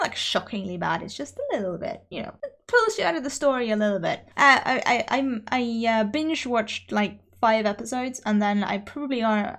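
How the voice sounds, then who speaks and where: A young Norwegian woman chatting casually over her game footage, rambling and self-deprecating with frequent restarts. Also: mid-range voice. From Norway. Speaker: YouTuber narrating a speedbuild.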